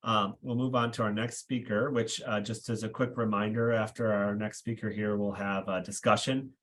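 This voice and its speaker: Male moderator, slightly low voice, plain introductions with fillers. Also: level tone, a bit bright. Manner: measured with frequent fillers. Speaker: panel moderator. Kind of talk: introducing speakers.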